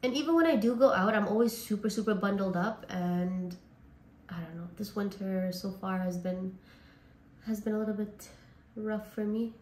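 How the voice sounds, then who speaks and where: A woman from Canada talks through her makeup routine in a loose, chatty way, her voice hoarse and raspy from a lingering cold. Her pitch sits in an ordinary middle range. The sound carries a noticeable echo from the room around her. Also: normal speed, a little mellow. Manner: chatty, says like and honestly a lot. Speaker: beauty vlogger. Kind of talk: casual makeup tutorial chat.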